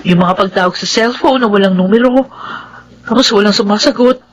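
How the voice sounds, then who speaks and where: A woman's voice: medium pitch, brisk, highs cut off, close to an everyday voice. A woman with a Filipino accent playing an anxious, suspicious young woman in an emotive radio drama style. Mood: uneasy, insistent.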